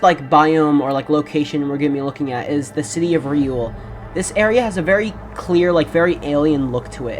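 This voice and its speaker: Low-voiced woman with a scratchy post-cold throat rambling through game lore, lots of "like"s. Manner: rambling, with many "like" fillers and self-corrections. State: throat rough from a recent cold. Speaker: game lore video narrator. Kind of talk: casual lore narration over gameplay.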